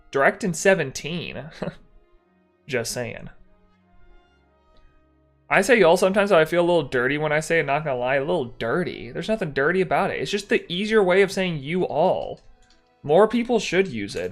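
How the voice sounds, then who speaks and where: Young male streamer with a light Texan accent, casual and meandering, talking to chat with soft background music. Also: a little high, big pitch swings, normal speed, long pauses. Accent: mild Texan. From Texas. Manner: rambling, self-correcting, chatty with chat.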